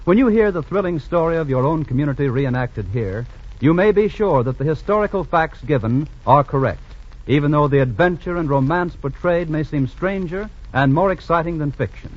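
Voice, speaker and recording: A man's voice: fairly high pitch, lively intonation, normal speed, darkish tone. A man making formal scripted announcements in the style of a 1940s radio program. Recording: dull, hissy vintage radio recording.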